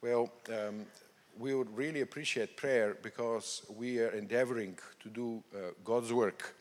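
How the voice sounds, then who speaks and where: A man with a Bulgarian accent answers questions on stage as a missionary guest, halting and restarting as he goes. His voice sits at an ordinary male pitch. A long reverb follows him through a large hall. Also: fairly even intonation. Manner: halting, with many restarts and fillers. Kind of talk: interview on stage.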